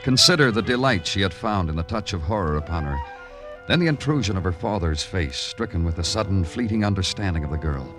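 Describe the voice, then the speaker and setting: An actor playing a world-weary, hard-boiled American police detective, terse and brooding, in a 1950s radio noir drama. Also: male voice, low voice, animated, a little fast, music in the background. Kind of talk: 1950s radio noir acting with poetic narration.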